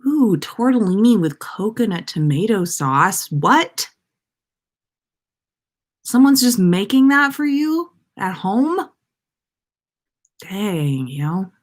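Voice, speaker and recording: A woman's voice: medium pitch, sing-song, takes it slowly, pauses often. An American woman hosting a casual livestream, chatting to viewers in a playful, rambling way. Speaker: podcast livestream host.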